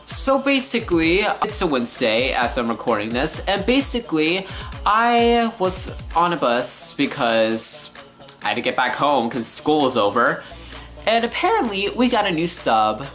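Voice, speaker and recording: A man's voice: fairly high pitch, very animated, unhurried, fairly bright tone. A young male vlogger telling a story casually in a living room. He rants with sarcasm and exaggeration, and faint music plays behind him. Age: teenager to young adult.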